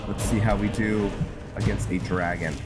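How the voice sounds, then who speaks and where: Male gameplay host, very low voice, casual and halting delivery, music clearly behind him. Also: fairly even intonation. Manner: halting, thinking aloud with pauses mid-sentence. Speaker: gameplay host narrating a roleplay series. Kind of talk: casual, rambling gameplay commentary.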